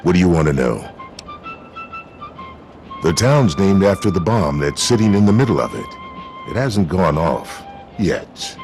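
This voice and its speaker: Very deep-voiced frontier sheriff. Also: male voice, darkish tone. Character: gruff, folksy frontier town sheriff and mayor. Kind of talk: video game character dialogue.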